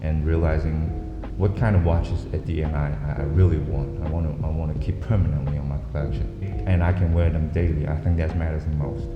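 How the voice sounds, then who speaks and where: A man hosting an interview in an office, speaking casually with halting restarts and fillers. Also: very deep voice, fairly even intonation. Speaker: host. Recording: echoey, dull sound with music bed.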